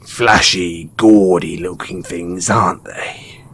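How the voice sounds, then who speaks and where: Low, slow-talking wasteland merchant played by a man. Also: steady tone, fairly bright tone, slightly rough, heavily acted. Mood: wry, knowing. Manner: unhurried, colloquial, knowing, with pointed pauses. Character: mysterious wry, cynical wasteland merchant, chuckling and sly. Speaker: voice actor in audio roleplay. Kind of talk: post-apocalyptic audio roleplay, intimate monologue to the listener.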